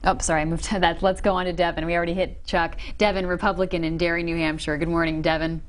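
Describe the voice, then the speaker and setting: A woman's voice: on the low side, little pitch movement, fast talker. A woman hosting a political call-in show, moderating in a neutral, businesslike way.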